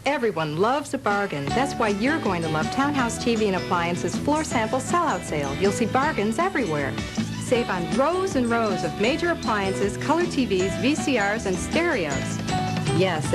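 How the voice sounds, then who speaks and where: A woman reading a scripted retail sale commercial as its announcer. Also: mid-range voice. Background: loud music.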